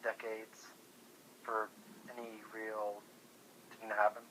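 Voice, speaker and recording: A man's voice: on the low side, monotone, takes it slowly, fairly bright tone. A man chatting casually and informally over the phone, thinking aloud as a guest. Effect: telephone call. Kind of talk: casual chat. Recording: faintly noisy phone line.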